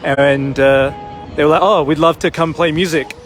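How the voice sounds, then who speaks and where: Rambling man, higher voice. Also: normal speed. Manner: relaxed, rambling with many fillers. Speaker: livestream host at a protest. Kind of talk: casual on-the-spot talk. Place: outdoor city square. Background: live music nearby.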